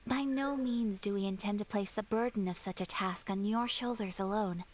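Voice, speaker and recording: A woman voicing a composed, courteous young noblewoman in a video game, speaking in a formal, measured way. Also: mid-range voice, slight background noise, lightly acted, rough recording. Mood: calm.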